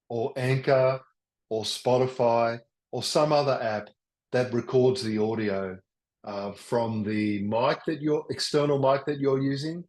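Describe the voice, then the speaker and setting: An older man presenting a webinar in a loud, enthusiastic voice, often restarting his sentences. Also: medium pitch. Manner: halting, with many restarts. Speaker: workshop presenter. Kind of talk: teaching a webinar. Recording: roomy echo.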